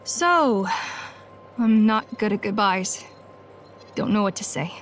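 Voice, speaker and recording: A woman's voice: medium pitch, animated, takes it slowly, a little hiss or hum. A woman playing an earnest, loyal, reserved leader in a video game, speaking in short, sincere phrases. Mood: sad, sincere.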